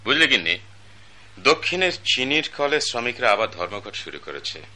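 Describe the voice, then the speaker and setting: A man's voice: medium pitch, very animated, normal speed, bright, forceful tone. An actor playing a stern, self-righteous wealthy father, older in age, in a radio drama. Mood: pompous. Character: wealthy stern patriarch, pompous older man.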